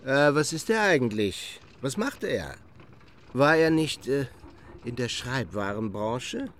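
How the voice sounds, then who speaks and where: An actor playing a condescending middle-aged gentleman who gossips and reminisces haltingly in a radio drama. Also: mid-range voice, big pitch swings. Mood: nostalgic, chuckling.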